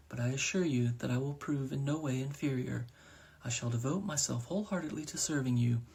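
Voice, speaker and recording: A man voicing an alluring, gentle female crow who speaks in a calm, soothing, formal way. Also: mid-range voice, slightly rough.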